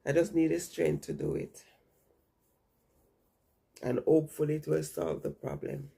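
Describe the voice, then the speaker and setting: A woman's voice: low-pitched, steady tone, unhurried, vocal fry, dark tone, slightly rough. A woman vlogger chatting casually and ramblingly to her viewers while she has a stuffy nose from a cold. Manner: rambling, chatty, repeats herself.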